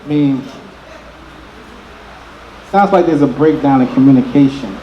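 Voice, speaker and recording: A man's voice: medium pitch, a little slow, muffled recording, a little hiss or hum. A man explaining his view in an unscripted relationship discussion as one of the advisers. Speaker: panelist giving relationship advice. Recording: echoey room.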